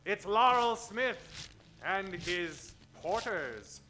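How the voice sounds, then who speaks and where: A man's voice: high voice, expressive, very slow, noisy background. A man narrating a mock old-time radio adventure in a dramatic, grandiose, emphatic manner.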